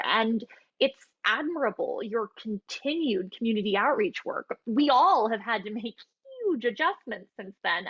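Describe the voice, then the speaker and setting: A woman's voice: a little high, breathy edge, dry recording. An actress playing a diplomatic, evasive woman running an audition, conciliatory and hesitant, in a naturalistic play. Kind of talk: naturalistic stage play acting over video call. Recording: muffled, dry video-call audio.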